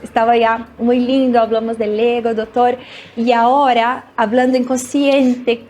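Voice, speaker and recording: Woman TV host, high voice, effusive, admiring. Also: little pitch movement, measured pace, darkish tone, a little hiss or hum. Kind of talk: enthusiastic interview hosting.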